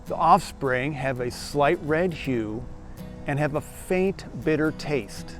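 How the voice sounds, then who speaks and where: A man hosts a gardening show and narrates from a script. His voice sits a little high for a man, and he explains each point in a steady, measured way. Soft music plays faintly underneath.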